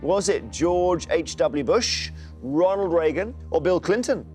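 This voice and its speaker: A man's voice: fairly high pitch, animated, unhurried, darkish tone, faint music behind. A man hosting a TV quiz show, reading questions and bantering playfully with contestants.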